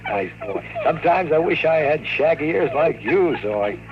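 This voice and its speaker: An actor with an American accent playing a sardonic, wisecracking private detective in a 1950s radio drama. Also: male voice, a little high, lively intonation, normal speed, lightly acted. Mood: affectionate. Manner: sardonic, wry. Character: wisecracking hard-boiled bachelor private detective. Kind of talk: 1950s radio drama acting with first-person narration. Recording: muffled vintage radio recording with music.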